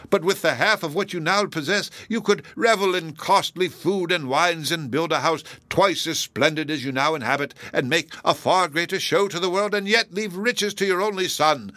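A man voicing an anguished older gentlewoman in a classic novel audiobook, pleading mournfully and earnestly with drawn-out emphasis. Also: fairly high pitch, no room sound, pitched above the performer's own voice.

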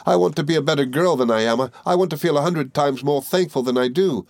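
A man's voice: mid-range voice, fairly even intonation, a little fast, no echo, studio quality, voice pushed up, lightly acted. A man voicing a tearful young woman in a storybook, agonised, repetitive and full of self-reproach as she pleads. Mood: desperate pleading.

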